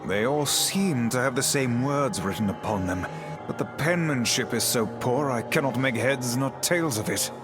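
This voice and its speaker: A man's voice: medium pitch, moderate pace, natural voice. Man voicing a pompous talking book. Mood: grave. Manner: formal, archaic, pompous diction. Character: pompous, learned magical talking book, male companion. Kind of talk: video game voice acting. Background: game music.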